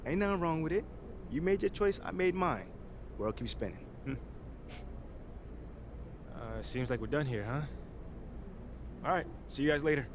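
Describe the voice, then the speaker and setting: An actor playing a confident young pro basketball player, talking in a casual, slangy, laid-back way in a game cutscene. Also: male voice, a little high, lively intonation, moderate pace, long pauses, noisy background. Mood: breezy, assured. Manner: casual, slangy, hesitant asides. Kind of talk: naturalistic video game cutscene acting.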